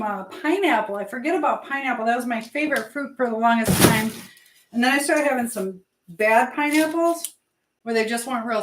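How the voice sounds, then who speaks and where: A woman with a fairly high voice hosts a casual live chat from her home kitchen. She rambles and thinks aloud in a chatty, informal way. The room is clearly echoey, with light noise behind her. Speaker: livestream host, language teacher. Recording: roomy echo, clear background noise.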